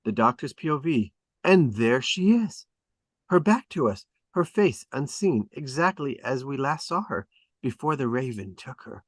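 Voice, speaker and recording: A man's voice: mid-range voice, expressive, a little slow, no room sound. A man reading stage directions at a drama table read, with emphatic, clipped and dramatic phrasing.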